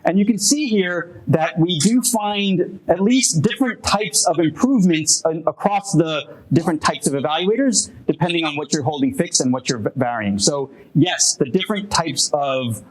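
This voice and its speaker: A man presenting his research at a workshop and answering audience questions in a halting, self-correcting way, with frequent fillers and restarts. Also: on the high side, lively intonation, low-quality recording. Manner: hesitant, many restarts and fillers. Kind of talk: academic Q&A at a workshop. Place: conference room.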